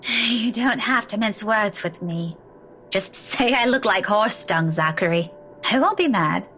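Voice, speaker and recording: Slow, weary, distraught woman. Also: medium pitch, animated, fairly bright tone, slight background noise. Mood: tired, resigned. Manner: halting, sighing, faltering speech. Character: weary, distraught woman keeping hospital vigil over loved one. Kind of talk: dramatic game dialogue.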